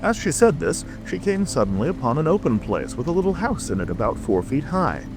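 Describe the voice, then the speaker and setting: A man's voice: medium pitch, big pitch swings, a little fast, music in the background, poor audio. A man narrating a classic storybook as a scripted audiobook.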